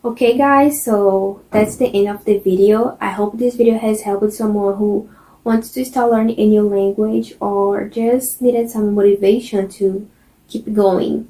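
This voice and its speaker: A young woman from Brazil with a Brazilian Portuguese accent, a native Portuguese speaker, giving language-learning tips in English in a relaxed, chatty, encouraging way with many fillers. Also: medium pitch, measured pace, low-quality recording. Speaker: vlogger. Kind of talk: casual chat. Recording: loud music bed under the voice.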